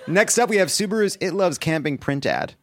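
A man presenting commercials on a live comedy stage, animated and laughing with quick asides. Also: sits high, slight background noise. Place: theater stage before an audience.

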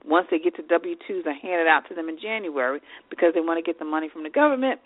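A woman's voice: sits low, animated, fast, no echo. Congested woman on a tinny line. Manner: reads lists of tips with fillers. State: congested, nasal. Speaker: podcast host. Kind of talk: advice podcast talk.

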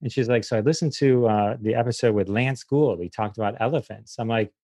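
A man's voice: medium pitch, fairly quick, dry recording. Self-deprecating male comedian, dry close recording. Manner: self-deprecating, animated retelling with acted quotes. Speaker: podcaster guest, comedian. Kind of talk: casual comic interview chat.

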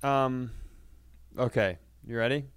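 A man's voice: mid-range voice, takes it slowly, clean tone, no room sound. Clean, dry-sounding man chatting casually. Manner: profane, sardonic storytelling. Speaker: podcast host and comedian. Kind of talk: casual comedic podcast banter.

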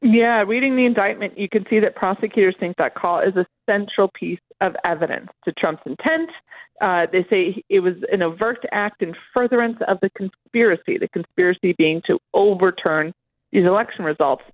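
A dry, band-limited phone line carries a woman's voice at a medium pitch. She is a reporter giving live interview answers, explaining things and often restarting her sentences. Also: normal speed, no room sound. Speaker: political reporter interviewed as guest.

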